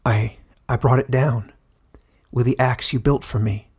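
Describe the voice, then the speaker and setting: Man voicing an earnest, husky-voiced boy hero. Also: mid-range voice, fairly even intonation, unhurried, a little mellow. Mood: hesitant. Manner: hesitant, sincere. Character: earnest, humble boy hero of fantasy tale. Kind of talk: fantasy audiobook character voice.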